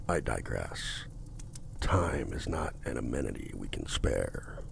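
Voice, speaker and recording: Slow talking demon for asmr, super gravelly, swoops around, possessive and commanding, formal and menacing. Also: male voice, a little hiss or hum, exaggerated voice. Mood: haughty, menacing. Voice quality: very deep, gravelly, breathy at times. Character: possessive male demon, courtly, menacing and seductive. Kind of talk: ASMR roleplay acting.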